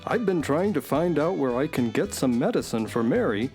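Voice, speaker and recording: A man's voice: on the high side, sing-song, moderate pace, a little mellow, soft background music. A man playing a loyal, worried talking space horse in a children's radio serial, earnest and folksy. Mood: concerned.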